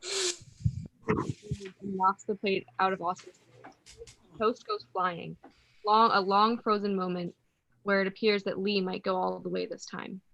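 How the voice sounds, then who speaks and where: A woman's voice: medium pitch, gravelly, fairly bright tone, no echo, poor audio. A woman narrating a play, reading the stage directions as scripted narration.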